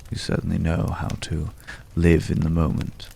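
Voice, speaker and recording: A very deep, mysterious gentleman acted by a man speaks in a heavily creaking, hushed voice over noticeable background noise. He is courtly and world-weary, choosing florid, old-fashioned words as in an intimate ASMR roleplay. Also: monotone, normal speed. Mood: wistful, pensive. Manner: archaic, florid, hesitant, pensive phrasing.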